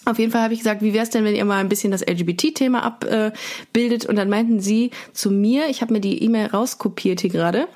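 A woman's voice: medium pitch, brisk, a little mellow. Casual German woman chatting on a podcast. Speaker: podcast host.

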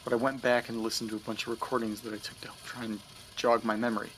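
An actor playing an anxious, bewildered man in an audio drama, speaking hesitantly and stammering over car engine rumble. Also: flat delivery, fast, a bit bright, close to an everyday voice, low-quality recording. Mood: uneasy. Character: anxious, bewildered man recounting strange memory loss. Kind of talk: naturalistic audio drama acting.

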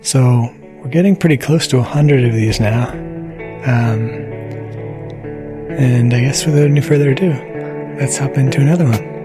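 A man's voice: medium pitch, normal speed. A man giving a casual spoken introduction to a podcast episode as its producer. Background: soft gentle music.

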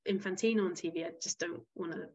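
A woman's voice: lowish voice, steady tone, fast, darkish tone. A woman from the Isle of Wight, a football club chief executive speaking frankly as an interview guest and often restarting her sentences. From Isle of Wight, England.

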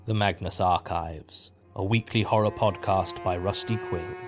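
A man's voice: low-pitched, steady tone, measured pace, faint music behind. A man reading a scripted podcast trailer announcement.

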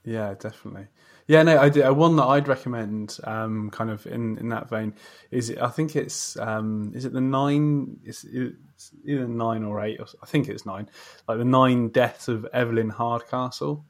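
A man's voice: mid-range voice, fairly even intonation, normal speed. A man hosting a podcast, speaking in a casual, conversational interview style.

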